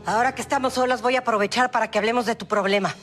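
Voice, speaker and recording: Actress as an insistent, quick soap-opera woman. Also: a little high, steady tone, over music, close to an everyday voice. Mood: accusing. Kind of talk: Spanish-language telenovela acting.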